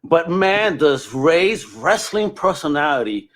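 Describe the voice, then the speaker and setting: Guy with a slightly high voice hosting a podcast, slangy and informal, just bantering. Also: very animated, slow. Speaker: podcast host. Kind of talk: casual podcast chat.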